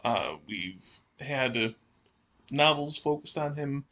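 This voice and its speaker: A man co-hosting a podcast, speaking casually and in a measured way as he prompts discussion. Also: medium pitch, animated, pushed and bright. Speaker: podcast co-host.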